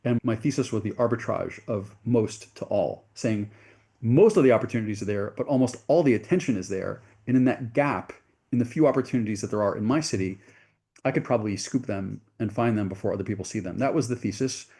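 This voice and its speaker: Gravelly, low-voiced American man telling his story. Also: a little fast, dark tone. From United States. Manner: earnest, rambling storyteller with self-corrections. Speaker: venture capitalist guest. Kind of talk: podcast interview storytelling.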